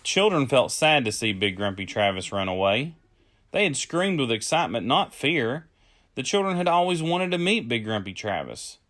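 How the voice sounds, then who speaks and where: A man reading a children's story aloud as a storyteller, with deliberate phrasing. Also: on the low side, a bit bright. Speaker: storyteller, early childhood educator.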